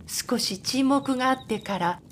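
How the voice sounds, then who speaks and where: A woman's voice: high-pitched, a little slow, a little hiss or hum. A woman reading scripted narration for a radio drama.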